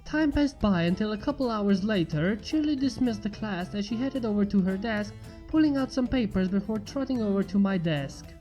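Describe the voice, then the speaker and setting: A woman's voice: medium pitch, animated, moderate pace, mellow. A woman reading a first-person fan fiction story aloud as its narrator. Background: faint music.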